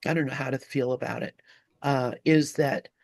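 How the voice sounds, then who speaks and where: A man speaking reflectively and casually in a group discussion, halting and repeating himself as he gropes for words. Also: mid-range voice, fairly even intonation, vocal fry, a little hiss or hum.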